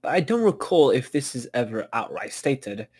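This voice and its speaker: Casual man with mid-pitched voice. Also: big pitch swings, moderate pace, a little mellow, breathy edge. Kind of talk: casual chat, commentary.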